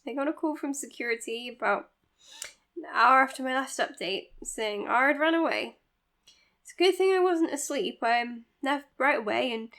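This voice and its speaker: A woman's voice: a little high, fairly bright tone, natural voice. An actress playing an overworked, self-blaming woman doctor in a naturalistic audio drama, speaking precisely, formally and earnestly. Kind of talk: naturalistic audio drama, dictated case notes and dialogue.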